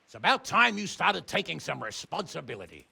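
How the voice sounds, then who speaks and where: Exasperated noble uncle in a medieval game, blunt n sarcastic, gravelly, sharp edge to it. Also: male voice, fairly high pitch, sing-song, a little fast, exaggerated voice. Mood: angry scolding. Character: stern, exasperated noble uncle scolding his wayward ward. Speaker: medieval lord and guardian. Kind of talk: video game voice acting.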